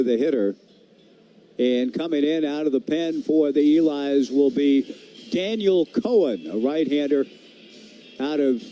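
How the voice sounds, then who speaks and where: A man works as a baseball play-by-play voice on live radio. His tone is very soft-edged and warm, set a little higher than average, and he describes the action in clipped phrases. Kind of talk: live radio sports commentary.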